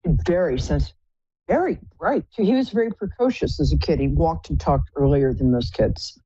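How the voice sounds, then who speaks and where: A woman with a low contralto voice hosting a live psychic call-in show, chatty and effusive, calling callers pet names. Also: animated, moderate pace, dark tone, husky, highs cut off. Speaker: psychic radio host.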